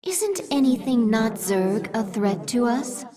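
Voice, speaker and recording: An actress playing a calm, dutiful female alien advisor giving briefings. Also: fairly high pitch, a crowd in the background. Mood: measured. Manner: measured briefing delivery. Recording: game cinematic with music.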